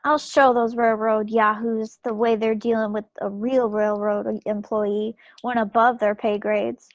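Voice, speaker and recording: A woman's voice: fairly high pitch, little pitch movement, a little slow, no echo. A woman playing a stubborn, spirited young 1940s war wife with folksy directness, in a play reading over a video call. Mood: defiant. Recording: muffled video-call audio.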